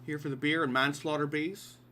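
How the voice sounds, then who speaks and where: A man's voice: fairly high pitch, level tone, brisk, pushed and bright, a little hiss or hum. A man with a Derry, Northern Irish accent hosting a live-streamed quiz, reading questions aloud in an informal way with wry asides. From Derry, Northern Ireland. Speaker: quiz host.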